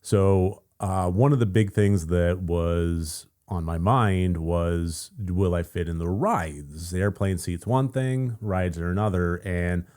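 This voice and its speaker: An American man with a gravelly, just-woken-up voice, chatting casually in a vlog update, rambling with fillers and soft laughs. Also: deep voice, slow pace, few pauses. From United States. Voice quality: gravelly morning voice. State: just woken up, voice deeper than usual. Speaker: channel host.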